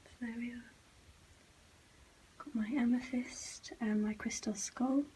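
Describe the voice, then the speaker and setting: A soft-spoken, breathy woman giving casual behind-the-scenes vlog narration, often dropping into a whisper. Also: a little high, flat delivery, unhurried, a little hiss or hum, low-quality recording. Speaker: ASMR vlogger and host.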